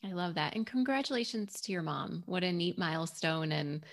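A woman's voice: lowish voice, animated, moderate pace. A woman hosting a podcast in a conversational interview style, speaking in a reflective, articulate way. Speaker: podcast host.

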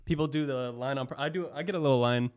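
A man's voice: mid-range voice, fast, a little mellow, clear, resonant tone, no room sound. A man in his early twenties with a Florida accent, hosting a podcast in a laid-back, chatty way with casual swearing. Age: 20s. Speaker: podcast host. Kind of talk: casual chat.

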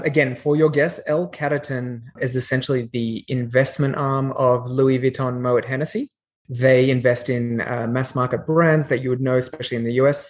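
Australian man, measured business talk. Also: medium pitch. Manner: measured, explanatory, with frequent fillers. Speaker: guest, company CEO. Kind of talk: podcast interview.

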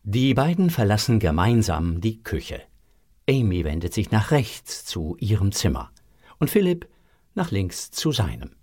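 Slow, low-voiced male storyteller. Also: sing-song, a little mellow. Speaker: narrator of a children's audio drama. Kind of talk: scripted story narration.

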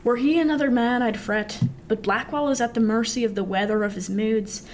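A woman's voice: fairly high pitch, fairly even intonation, moderate pace, a little mellow, a little hiss or hum, natural voice. A woman playing a seasoned male merchant sailor in a radio drama, wry and confiding, with old-fashioned nautical speech. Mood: wry, explaining. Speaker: actor.

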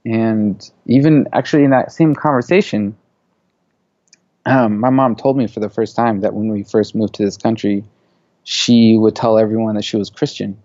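Low-voiced man talking casually, full of fillers. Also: fairly even intonation, moderate pace, warm tone, no echo, highs cut off. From born in Saudi Arabia, raised in the US. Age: 30s. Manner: loose, filler-heavy talk with many "you know"s and "like"s. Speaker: interview guest, media creative. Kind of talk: casual conversational interview.

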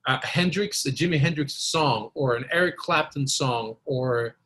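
A man's voice: mid-range voice, measured pace, fairly bright tone. A man from Costa Rica, a native Spanish speaker, chatting informally and telling anecdotes as a podcast guest. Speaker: podcast guest, blues guitarist. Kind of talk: casual storytelling in an interview.

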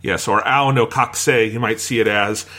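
A man's voice: lowish voice, fairly even intonation, moderate pace, sharp tone. A man hosting a podcast in a conversational style, introducing the show and guests. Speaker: podcast host.

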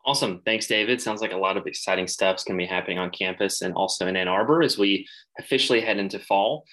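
A man's voice: sits low, fast talker, bright, forceful tone. A young man hosting a student podcast, upbeat and steering the conversation. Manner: upbeat, guiding questions. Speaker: podcast host.

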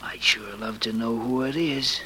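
An actor playing a plain-spoken, eager police sergeant in an old radio drama, speaking in a hushed, blunt way. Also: male voice, mid-range voice, measured pace. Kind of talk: old-time radio detective drama acting. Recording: noisy old radio play recording.

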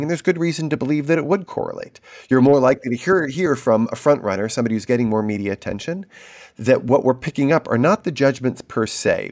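A man hosting an interview, working through long hypotheses out loud and asking probing questions. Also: medium pitch. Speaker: interviewer host.

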